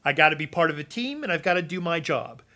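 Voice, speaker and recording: A man's voice: on the high side, lively intonation, fast talker, pushed and bright. A man chatting casually about game builds, rambling with many fillers and restarts, and losing his voice. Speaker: gaming content creator.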